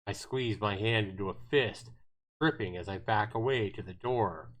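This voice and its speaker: A man's voice: on the low side, normal speed, a bit bright, poor audio. A man reading visual novel narration aloud on a livestream, telling it dramatically with the occasional stumble. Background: guitar music.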